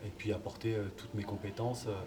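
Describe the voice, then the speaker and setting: A man, a football coach, answering interview questions in a relaxed, hesitant way with many fillers. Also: fairly low pitch, quick pace. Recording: distant mic, background noise.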